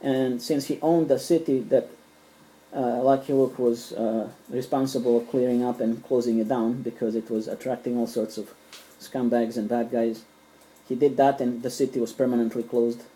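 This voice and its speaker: A man's voice: medium pitch, steady tone, moderate pace, mellow, poor audio. A middle-aged man whose first language is Serbian, casually showing his comic collection at home, rambling with fillers and restarts. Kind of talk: casual collector show-and-tell. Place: small room at home.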